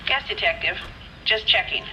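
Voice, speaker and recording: A woman's voice: mid-range voice, animated, normal speed, music in the background, close to an everyday voice, rough recording. An actress playing an efficient, polite female police telephone clerk in a video game, with a formal, informative delivery. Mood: helpful, formal. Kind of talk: naturalistic video game acting.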